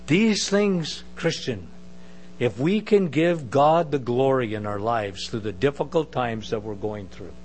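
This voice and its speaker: A middle-aged man with a big booming voice preaching a sermon, emphatic with conversational asides. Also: expressive, a little slow, darkish tone, slight background noise.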